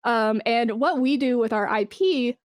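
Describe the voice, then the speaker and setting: Interview guest: woman with a fairly high voice, enthusiastic, informal, conversational, recorded very dry. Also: measured pace, no echo. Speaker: interview guest, esports brand manager. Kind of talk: casual interview chat.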